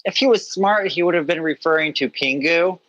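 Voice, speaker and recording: A North American man hosting a gaming stream, chatting in a teasing, halting way with many restarts. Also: a little high, a bit bright, dry recording. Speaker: streamer host. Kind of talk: casual chat on a gaming stream. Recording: dull, dry mic sound.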